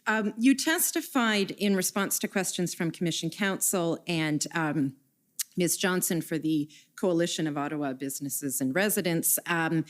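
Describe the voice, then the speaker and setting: A woman questioning a witness at a formal hearing, reading out document numbers and quoted messages in a measured way. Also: fairly low pitch. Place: hearing room.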